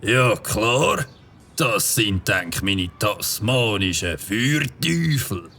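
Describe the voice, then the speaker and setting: A man playing a gravelly, carefree motorbike buff. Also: lowish voice, big pitch swings, very slow, pushed and bright, slight background noise, full character voice. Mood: breezy. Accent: Swiss German. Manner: cheerful, carefree. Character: jolly middle-aged male motorbike tinkerer. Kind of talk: children's audio drama acting.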